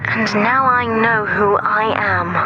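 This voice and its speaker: A woman acting as a devoted young daughter in a video game drama. She is soft-spoken and earnest, gentle yet resolute, with a deliberate delivery over heavy background noise. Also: mid-range voice, dull audio, lightly acted. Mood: resolute, hopeful.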